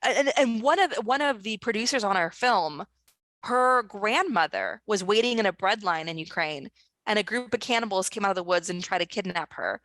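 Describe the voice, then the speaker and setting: A woman author and screenwriter speaking as a guest in an online discussion, talking in an earnest, urgent way with quick restarts. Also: medium pitch, animated, fairly bright tone, no echo. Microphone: video call.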